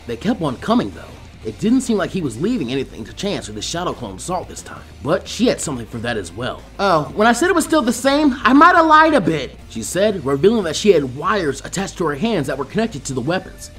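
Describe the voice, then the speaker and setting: A man's voice: fairly high pitch, sing-song, fast talker, hardly any pauses, soft background music. A man reading fan fiction aloud as scripted story narration.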